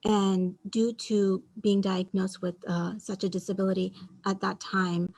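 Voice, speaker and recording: A woman's voice: medium pitch, creaky, a little mellow, husky, low-quality recording. A woman from Bangladesh, a panelist who explains her story in a matter-of-fact way. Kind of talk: online panel talk, personal story.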